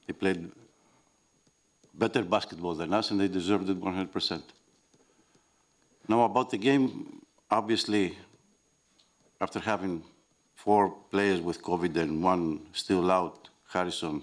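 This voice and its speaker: Deep-voiced assistant coach, matter-of-fact. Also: male voice. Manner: plain, brief and matter-of-fact. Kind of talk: post-game press conference. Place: press room at a basketball arena. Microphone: table mic.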